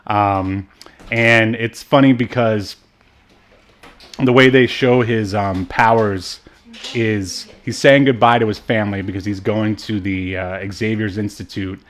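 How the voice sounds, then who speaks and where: A man co-hosting a casual film discussion, talking in a halting, rambling way. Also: lowish voice, fairly even intonation. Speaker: podcast co-host reviewing films.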